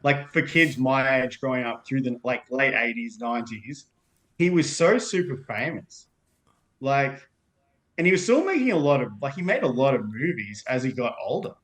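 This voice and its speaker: A man's voice: mid-range voice, animated, normal speed, rough recording. An Australian man in his thirties, chatting as a podcast guest in a rambling way with many fillers and restarts. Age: 30s. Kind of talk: casual chat.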